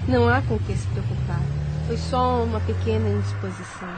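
A woman's voice: on the high side, brisk, very noisy, close to an everyday voice. A woman playing a caring, troubled young woman trying to stay strong in a Brazilian radio soap, with a Brazilian accent. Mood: reassuring. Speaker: radio drama actress.

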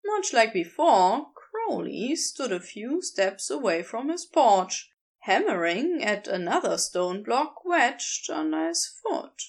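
A woman reading a story aloud as narrator, in an expressive scripted style. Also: a little high, slow pace, gravelly. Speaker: podfic narrator.

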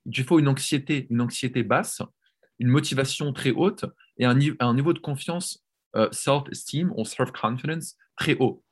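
A man talking informally as a guest on a chat podcast. His voice sits at a middling pitch. He speaks with animated energy and repeats words as he restarts his thoughts. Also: normal speed, slightly rough. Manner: animated, frequent restarts and "tu vois". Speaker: language coach, podcast guest. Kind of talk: casual podcast conversation.

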